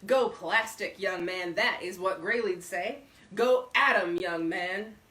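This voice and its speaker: Woman acting out a genial old windbag, storybook character, loud, folksy, emphatic, boastful, echoey distant recording. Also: medium pitch, slow. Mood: blustering. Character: talkative, boastful, genial older man with folksy opinions. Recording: roomy echo, distant microphone.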